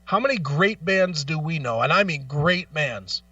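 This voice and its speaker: A man's voice: fairly high pitch, lively intonation, a little slow, pushed and bright, no room sound, distant mic, dull audio. A man hosting a talk show in an opinionated rant, blunt, profane and argumentative, repeating phrases for emphasis. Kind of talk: opinionated music talk, ranting.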